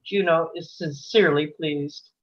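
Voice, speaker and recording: A woman narrates screenplay action in a live read. Her voice sits a little low, and the recording is slightly dull. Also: a little slow. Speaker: reader of stage directions.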